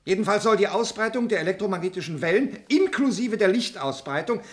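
High-voiced, insistent male space scientist. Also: animated, brisk. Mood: urgent, insistent. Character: male space-centre scientist, insistent bearer of alarming news. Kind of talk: German radio play acting.

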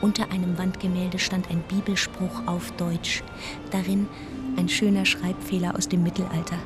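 An actress playing an observant, gently wry woman narrator, telling her travel story in a measured radio-drama style. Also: lowish voice, flat delivery, natural voice. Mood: amused recollection. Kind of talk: scripted first-person narration. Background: music.

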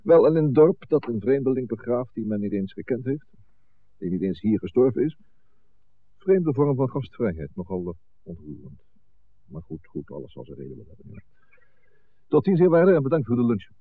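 An actor playing an urbane, dryly curious police inspector in a 1960s radio drama, speaking in a formal, musing way. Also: male voice, medium pitch, big pitch swings, normal speed, long pauses, narrow, tinny audio. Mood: musing, courteous. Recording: thin, band-limited old radio recording.

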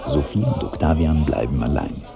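An actor serving as the composed narrator of a radio drama, reading scripted stage directions. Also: male voice, a bass voice, lively intonation, normal speed, gravelly, over a noisy crowd, heavily acted. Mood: descriptive. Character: composed male narrator of a romantic comedy. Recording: dull old broadcast, faint hiss.

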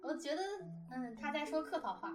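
Woman w/ highish voice rambling casually, bit far from mic, music playing behind her. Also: fairly quick. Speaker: audio drama producer. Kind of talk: casual behind-the-scenes chat.

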